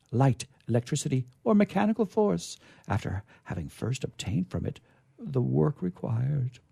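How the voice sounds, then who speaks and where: A man giving a dramatic story reading in a formal, oratorical manner, with measured, theatrical phrasing and emphatic pauses. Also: mid-range voice, warm tone, slightly rough, no echo, clean studio sound. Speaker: reader at a literature reading. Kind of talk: dramatic reading of a vintage science fiction story.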